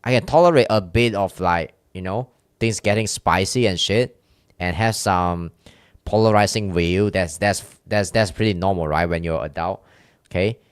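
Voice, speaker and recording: Low voiced guy w chinese accent, rambly candid stream chat, super dry sound. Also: normal speed, smooth, no room sound. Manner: rambling, many restarts and fillers, candid. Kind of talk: casual live-stream chat with chat.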